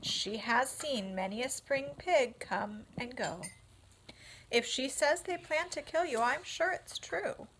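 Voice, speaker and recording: A woman voicing a calm, wise female spider who speaks briskly and firmly. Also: high-pitched, slight background noise, pitched above the performer's own voice, heavily acted, low-quality recording. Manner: brisk, firm.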